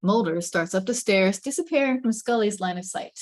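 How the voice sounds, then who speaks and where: A woman's voice: mid-range voice, lively intonation, moderate pace, dry recording. A woman reading stage directions as dramatic scripted narration, with suspenseful, emphatic phrasing.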